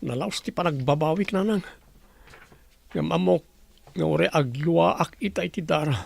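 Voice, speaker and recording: An actor playing a troubled grown son in an Ilocano radio drama, speaking earnestly and emotionally. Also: male voice, on the high side, normal speed, creaky, a little mellow. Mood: remorseful. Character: troubled, remorseful grown son of a village family.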